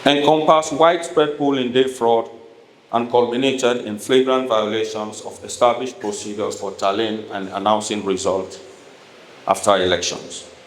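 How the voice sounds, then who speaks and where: A man with a Sierra Leonean accent delivers a formal prepared political speech as a politician, speaking emphatically and deliberately in measured phrases. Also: lowish voice, fairly even intonation. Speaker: politician addressing a town hall. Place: echoey hall at a town hall event. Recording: echoey room with noticeable background noise.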